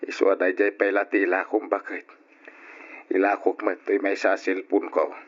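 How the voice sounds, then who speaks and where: A mild, money-strapped father with an Ilocano accent. His voice is fairly low and coaxing, on a slightly dull, muffled old radio drama recording. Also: monotone, normal speed. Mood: apologetic, gentle.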